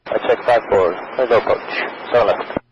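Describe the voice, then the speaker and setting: A man's voice: lowish voice, brisk. A man speaking as a pilot, making terse, slightly hesitant readbacks and requests over an aviation radio. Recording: muffled, noisy cockpit radio.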